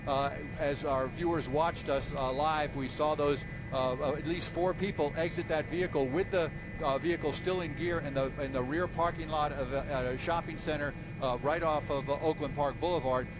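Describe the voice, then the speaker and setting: A man reporting breaking news live from a helicopter, in a halting, measured, procedural way. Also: steady tone. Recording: noisy live aircraft link, engine rumble. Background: vehicle rumble and noise.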